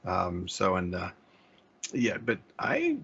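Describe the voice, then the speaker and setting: A man co-hosting a podcast, chatting casually in a halting way and often correcting himself. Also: sits low, wildly swooping pitch, gravelly, a bit bright, husky. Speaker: podcast co-host. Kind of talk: casual chat, listening and responding. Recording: dull, lo-fi sound.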